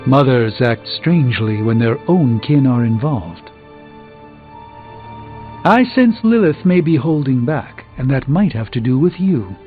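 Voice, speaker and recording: A man plays a grave, learned sorcerer of middle age in a video game. He speaks formally and with measured foreboding at a medium pitch. The sound is thin and phone-like, with soft music behind. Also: lively intonation, close to an everyday voice. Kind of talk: scripted video game dialogue.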